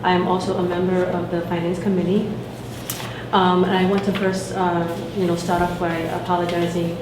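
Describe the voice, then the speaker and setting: A woman's voice: on the low side, flat delivery, fairly quick. A woman, secretary of a student association, giving a report to a meeting with many fillers and restarts. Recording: echoey room with noticeable noise.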